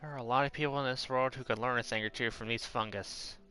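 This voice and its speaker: Bright-voiced man commenting on gameplay. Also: medium pitch, steady tone, quick pace. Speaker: game streamer. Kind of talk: casual commentary over gameplay. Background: game music and dialogue.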